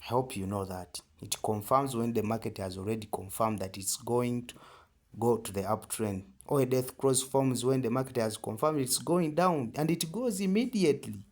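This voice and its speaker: A man's voice: mid-range voice, moderate pace. A man with a Ugandan accent giving an informal step-by-step tutorial, emphatic and repetitive, often checking in with short questions. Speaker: trading tutor.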